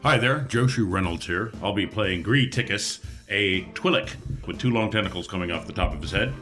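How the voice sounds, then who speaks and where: A man's voice: on the low side, brisk, fairly bright tone, faint music behind. A man chatting casually as a player at a tabletop role-playing game, describing his character and what it does.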